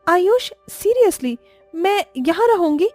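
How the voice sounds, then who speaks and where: Spoiled, dramatic young bride played by a woman for animated family story; very high voice, exasperated, English exclamations, faint music. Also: takes it slowly, exaggerated voice. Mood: haughty, irritated. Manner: mixes English exclamations into complaints.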